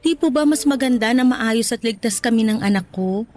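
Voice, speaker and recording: Woman acting young mother, high voice, polite, defensive, pleading, radio drama. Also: brisk, darkish tone, a little hiss or hum, close to an everyday voice. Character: young new mother, patient and hurt. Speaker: actor.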